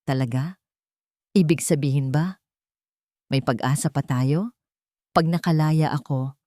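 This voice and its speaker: A woman's voice: low-pitched, a little slow, dark tone, no room sound, studio quality. A woman voicing a humbled, remorseful male prisoner in a story, weak and pleading. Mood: hopeful pleading.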